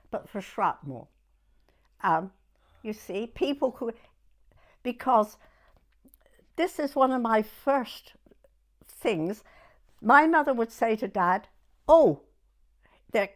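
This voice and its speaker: A woman in her nineties with a southern English accent, reminiscing conversationally in a halting way and often checking that she is understood. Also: on the high side. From Dorset, England. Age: 90s. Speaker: oral history interviewee.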